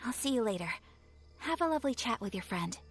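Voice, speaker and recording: A woman playing a gentle, earnest female video game character who speaks politely and formally. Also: a little high, expressive, fast talker, slightly rough. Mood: calm, reassured. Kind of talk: video game cutscene acting. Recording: music behind the voice.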